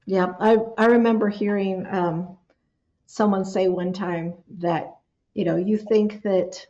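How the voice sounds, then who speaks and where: A woman's voice: medium pitch, fairly even intonation, slow, warm tone, dull audio. A woman hosting a podcast, affirming her guest with frequent encouraging murmurs and closing the episode. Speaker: podcast host. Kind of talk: podcast interview and outro.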